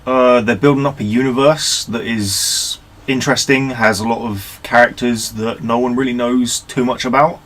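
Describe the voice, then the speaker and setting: A man's voice: mid-range voice, fairly even intonation, normal speed, a bit bright. A man chatting casually as an agreeable podcast co-host. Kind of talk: casual chat.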